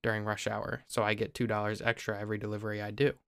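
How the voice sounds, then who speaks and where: Smooth-voiced young man from Ohio. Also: on the low side, quick pace, dry recording, clean studio sound. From Ohio, USA. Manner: relaxed, explains step by step with small restarts. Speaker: podcast host. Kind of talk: casual explanatory chat.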